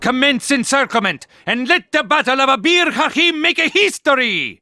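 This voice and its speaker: An actor playing a proud, hot-tempered Italian army commander in a war game, bombastic and imperious, with an Italian accent. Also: male voice, very high voice, expressive, moderate pace, bright, forceful tone, no room sound, exaggerated voice. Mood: triumphant. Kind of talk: dramatic war game cutscene acting. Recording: music behind the voice.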